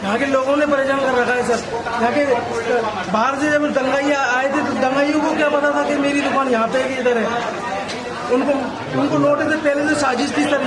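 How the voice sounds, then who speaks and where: A man giving aggrieved testimony as a shopkeeper, agitated and pleading, repeating himself. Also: very high-pitched. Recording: echoey distant mic amid loud crowd.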